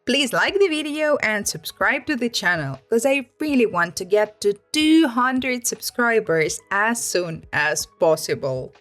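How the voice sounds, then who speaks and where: Woman, story reader, lively swinging pitch, fairly high voice. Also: a little slow, hardly any pauses, soft background music. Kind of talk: reading online stories aloud with comments.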